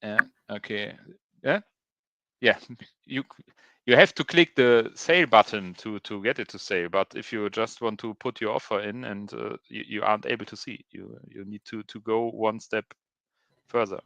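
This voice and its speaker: Halting man, bright clear voice. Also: on the low side, no echo, lo-fi sound. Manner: halting, many restarts and ums. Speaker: podcast co-host. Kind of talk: casual chat.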